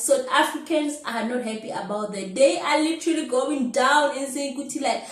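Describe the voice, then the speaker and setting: A woman hosting a vlog, talking casually to her viewers and calling them "guys" again and again. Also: sits high. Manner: chatty, repeats "guys" often. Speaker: vlog host. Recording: roomy echoey recording.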